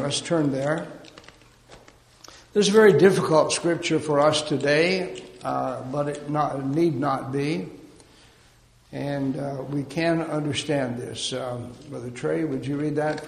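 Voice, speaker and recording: A man preaching a sermon in a measured way, pausing often on fillers. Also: a little high, vocal fry. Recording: echoey hall.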